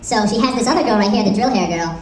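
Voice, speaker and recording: A woman's voice: on the high side, very fast, a little mellow. A young woman co-presenting a fan panel in a casual, enthusiastic way, with some swearing. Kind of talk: informal fan panel talk. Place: convention panel room. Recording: echoey room, distant mic.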